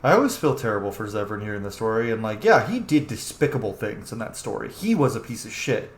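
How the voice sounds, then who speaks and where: A man's voice: lowish voice, sing-song, fast talker, reverberant. A man hosting a game playthrough, commenting casually in an opinionated, informal way with plenty of swearing. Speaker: gaming video host, player.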